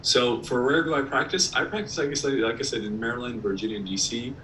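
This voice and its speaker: A man from Iraq, a periodontist giving a casual presentation over a video call, slightly hoarse and talking with many ums and restarts. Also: mid-range voice, fast, bright, forceful tone. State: slightly hoarse, clearing throat. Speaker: periodontist guest speaker. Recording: distant mic with faint background noise.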